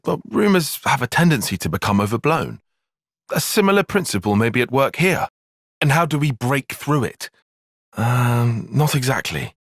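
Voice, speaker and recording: Precise young British tactician. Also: male voice, mid-range voice, sing-song, fairly bright tone, dry recording. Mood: measured, dry. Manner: measured, formal, articulate, dry. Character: young male tactician soldier, bookish, cautious, precise, exasperated. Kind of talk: video game cutscene voice acting.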